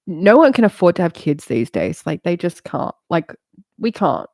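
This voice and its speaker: A woman's voice: on the low side, very animated, moderate pace, darkish tone, dry recording, clean studio sound. A woman in her late thirties chatting casually on a live stream, rambling and candid, swearing freely and laughing often. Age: late 30s.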